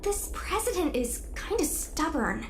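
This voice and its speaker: A woman's voice: extremely high pitch, sing-song, measured pace, noisy background, heavily acted. A woman voicing a candid, well-meaning young girl in a video game, informal, plain-spoken and a little hesitant. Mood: earnest, unsure. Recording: reverberant, with background music.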